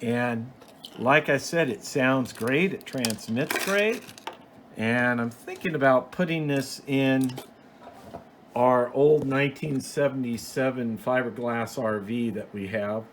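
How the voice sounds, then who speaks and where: A man's voice: medium pitch, slow. A man giving a casual, unscripted show-and-tell as a hobbyist host, explaining things in a rambling, plain-spoken way. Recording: clear background noise.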